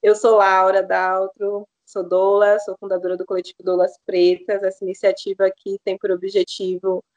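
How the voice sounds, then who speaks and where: A woman from Maranhão, a doula and podcast guest, explaining her work in a measured way. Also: mid-range voice, completely flat delivery, darkish tone, highs cut off. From Maranhão, Brazil.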